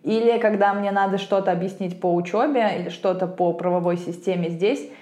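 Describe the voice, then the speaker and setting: A woman from Russia, an English teacher co-hosting a podcast, telling stories in an animated, emphatic way. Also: medium pitch, brisk. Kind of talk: casual podcast chat. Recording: roomy echo.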